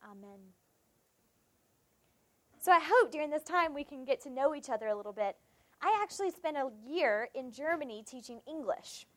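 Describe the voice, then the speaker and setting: A young woman with a South Carolina Southern accent preaches in a high, light voice. She tells a personal story, acting out the dialogue in it before turning to scripture. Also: animated, moderate pace, pauses often. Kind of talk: devotional sermon with personal story.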